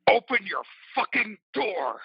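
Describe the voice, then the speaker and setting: Man acting a slow, grim, angry biker. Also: sits high, sing-song, vocal fry, husky, dry recording, highs cut off, exaggerated voice. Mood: angry accusation. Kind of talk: audio play acting.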